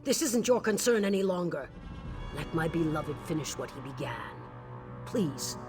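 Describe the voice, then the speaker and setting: A woman's voice: low voice, sing-song, normal speed, music in the background. A woman playing a devoted orc warrior queen in a video game, speaking solemnly, earnestly and with resolve. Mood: resolute, pleading. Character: devoted orc warrior queen, king's sworn shield-wife. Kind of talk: scripted video game dialogue.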